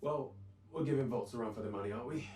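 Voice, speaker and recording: A man's voice: mid-range voice, quick pace, slight background noise, close to an everyday voice. An actor playing an earnest man from a racing team. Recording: echoey, distant, loud music behind.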